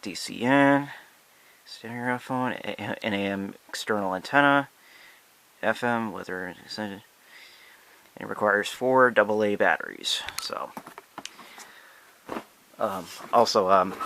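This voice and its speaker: An American man giving a casual, unscripted product demonstration in a halting, hesitant way with drawn-out pauses. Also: fairly low pitch, pushed and bright, no room sound. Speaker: product reviewer.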